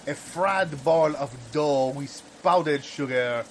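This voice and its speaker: Male actor as theatrical insulter, higher male voice, emphatic and deliberate, improvised audio comedy with faint background noise. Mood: grandly insulting. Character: florid, theatrical man trading absurd food insults.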